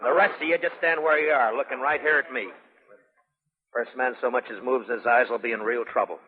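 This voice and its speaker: An actor playing a firm, terse, commanding frontier marshal in a 1950s radio western. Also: male voice, medium pitch, fairly even intonation, fairly quick, close to an everyday voice. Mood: stern, threatening. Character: stern, commanding frontier town marshal in the Old West. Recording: muffled old radio broadcast, long reverb.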